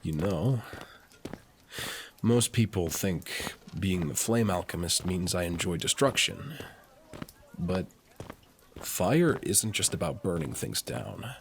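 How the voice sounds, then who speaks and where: A man playing a suave, teasing, flirtatious military officer and alchemist in an intimate roleplay audio, speaking smoothly. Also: sits low, slight background noise, exaggerated voice. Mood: tender, sincere. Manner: smooth, unhurried, playfully teasing.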